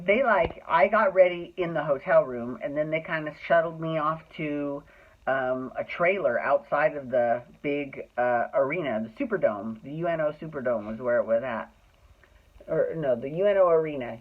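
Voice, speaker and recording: A man telling a personal story casually, with a sassy, emphatic manner that slips into acted-out dialogue. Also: fairly high pitch. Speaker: drag performer telling stories. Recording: thin phone-like sound.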